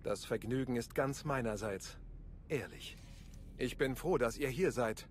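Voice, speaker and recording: An actor playing a charming, roguish intelligence agent in a video game, smooth-talking, breezy and flirtatious. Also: male voice, medium pitch, unhurried, a little hiss or hum, lightly acted. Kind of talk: video game cutscene acting.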